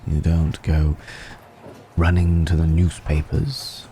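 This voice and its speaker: Man acting very deep, suave vampire noble. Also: flat delivery, warm tone, a little hiss or hum. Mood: courteous, cautionary. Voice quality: low, gravelly murmur. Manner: measured, hesitant pauses, genteel and teasing. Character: suave, secretive, courteous immortal male vampire noble. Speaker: audio roleplay performer. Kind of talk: intimate ASMR roleplay monologue.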